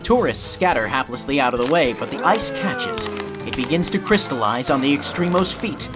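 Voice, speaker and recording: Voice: medium pitch, very animated, normal speed. A narrator delivering dramatic scripted narration in a vivid, theatrical manner for an audio drama. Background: music.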